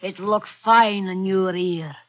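Sharp, wheedling little crook. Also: male voice, high-pitched, fairly even intonation, takes it slowly, muffled recording. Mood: sly coaxing. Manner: coaxing, rustic old-time sailor speech. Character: wily, wheedling little male crook and sidekick. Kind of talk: old-time radio drama acting. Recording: vintage radio recording.

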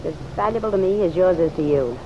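A woman's voice: on the low side, animated, fast talker, close to an everyday voice. A woman playing a defiant, working-class American woman with a curt, slangy, sardonic way of talking, in an old-time radio drama. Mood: defiant, cutting. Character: hard-boiled, sharp-tongued working-class woman, defiant. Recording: muffled vintage radio, faint noise.

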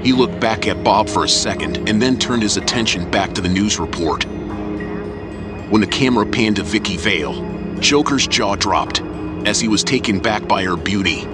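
A man's voice: lowish voice, normal speed. A man giving scripted story narration as the narrator of an audio drama. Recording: loud music under the voice. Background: loud dramatic music.

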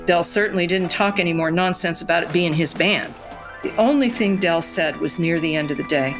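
A woman's voice: lowish voice, fairly quick, lightly acted. An actress playing a reflective woman who narrates memories of her youth in an audio drama, wistful and storytelling. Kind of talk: scripted first-person drama narration. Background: music.

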